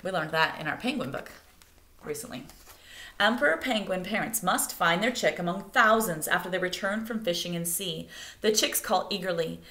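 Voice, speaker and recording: A woman reads a nature picture book aloud as expressive narration meant for children. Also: lowish voice, moderate pace. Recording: echoey room.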